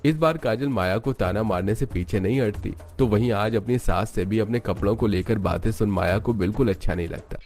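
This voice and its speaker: A man's voice: fairly low pitch, brisk, few pauses, a little mellow. A man narrating a scripted story as its storyteller. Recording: music bed under voice. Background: background music.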